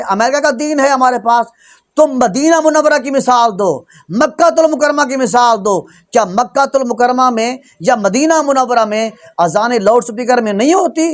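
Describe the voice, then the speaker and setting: A man preaching an Islamic sermon as a rebuttal, emphatic and admonishing. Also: very high-pitched. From Pakistan. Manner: emphatic, admonishing, rhetorical questioning. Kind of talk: religious sermon, argumentative rebuttal.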